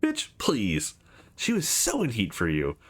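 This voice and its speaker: A man's voice: fairly high pitch, wildly swooping pitch, measured pace, slightly rough, pitched above the performer's own voice, exaggerated voice. Man putting on a brash teasing young woman, swooping.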